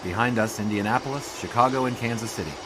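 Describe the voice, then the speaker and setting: An actor playing a doubtful 1920s American sports writer in an audio drama, speaking in a dry, understated way. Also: male voice, medium pitch, fast, noisy background, close to an everyday voice. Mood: wry narrating. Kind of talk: audio drama dialogue and first-person narration.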